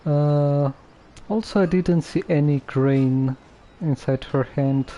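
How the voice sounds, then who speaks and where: A game streamer giving hesitant, low-key live commentary with long gaps and trailing thoughts. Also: slow pace, mellow.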